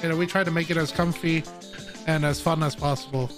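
Higher-voiced male streamer over loud music. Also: fairly even intonation, brisk. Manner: swears casually; friendly, welcoming to viewers. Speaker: live streamer and host. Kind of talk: casual livestream chat while gaming. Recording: loud game music behind. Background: rhythm game music with guitar.

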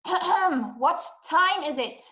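A woman's voice: extremely high pitch, expressive, slow, fairly bright tone, full character voice. A young woman playing an anxious, self-pitying, imperious invalid wife in a drama performed over video call. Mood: uneasy. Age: young adult. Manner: agitated, imperious, complaining. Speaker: actress. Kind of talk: stage acting in a radio-play adaptation. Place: small room indoors. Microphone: webcam video call. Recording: roomy laptop video-call sound.

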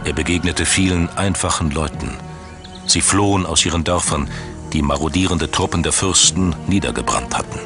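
Male documentary narrator, low register, measured dramatic storytelling over prominent music. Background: background music.

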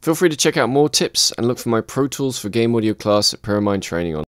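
A man calmly walking through a music production software tutorial, step by step. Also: medium pitch, fast. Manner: calm step-by-step explaining. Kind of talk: screen-recorded software tutorial.

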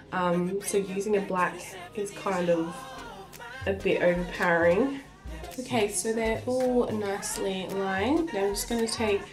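Slow-talking young woman with a cold. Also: medium pitch. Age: young adult. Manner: chatty, unhurried, trailing off mid-sentence. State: slightly stuffy from a cold. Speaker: beauty vlogger. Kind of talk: casual makeup review. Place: small indoor room, plain wall.

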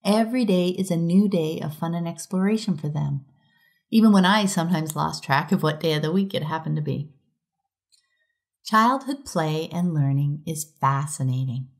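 A middle-aged woman reading a prepared conference talk aloud in a measured, reflective way as a podcast host. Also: deep voice.

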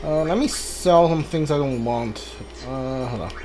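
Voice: mid-range voice, unhurried, noisy background. A video game streamer giving casual playthrough commentary, muttering to themselves while thinking aloud. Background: game music.

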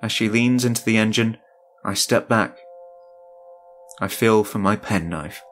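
A man's voice: on the low side, measured pace. A man reading a scripted first-person horror story as its narrator. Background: music.

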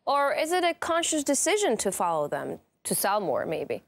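A woman's voice: medium pitch, wildly swooping pitch, normal speed, creaky. Young woman hosting a TV interview. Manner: poised, probing questions. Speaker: TV interview host. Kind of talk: broadcast interview questions. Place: TV studio. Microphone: broadcast studio mic.

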